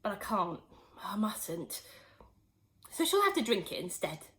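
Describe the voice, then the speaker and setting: Woman voicing a scheming young boy, gleeful and low-voiced asides. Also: sing-song, measured pace, fairly bright tone. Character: mischievous boy scheming at grandma.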